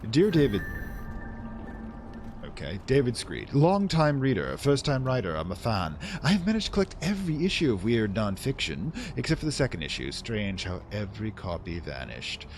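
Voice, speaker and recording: A man's voice: on the low side, very animated, normal speed, over music. A man hosting a let's play, casually commenting in a wry, rambling way and reading in-game text aloud theatrically.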